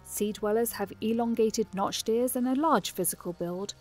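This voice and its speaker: A woman narrating a fantasy audio drama as a scripted story, in a measured, descriptive manner. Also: fairly high pitch, faint music behind, natural voice. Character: female narrator of a fantasy adventure.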